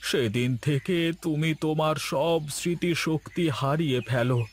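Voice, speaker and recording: A man voicing an anxious, earnest village husband in a Bengali cartoon, with a dramatic drawn-out delivery and faint noise behind him. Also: a little high, close to an everyday voice. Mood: grave confession. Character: anxious village husband burdened by a guilty past.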